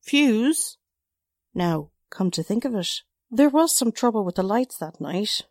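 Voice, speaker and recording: A woman's voice: medium pitch, animated, measured pace, darkish tone, no room sound, clean studio sound. A woman playing a defensive, easily riled working-class witness with folksy, ungrammatical talk in a 1940s-style radio drama. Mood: puzzled.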